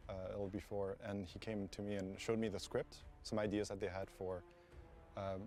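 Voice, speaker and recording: A man's voice: lowish voice, flat delivery, moderate pace, a little mellow, soft background music. A man speaking as a composer in a behind-the-scenes documentary interview.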